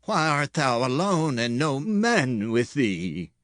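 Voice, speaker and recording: An actor playing a wary, cautious priest with hushed, deliberate archaic speech in a dramatized scripture recording. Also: male voice, medium pitch, sing-song, no room sound, studio quality. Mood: uneasy.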